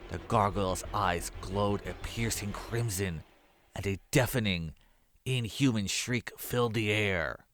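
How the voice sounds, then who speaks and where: A man narrating a scripted horror story as its storyteller. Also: deep voice. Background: music.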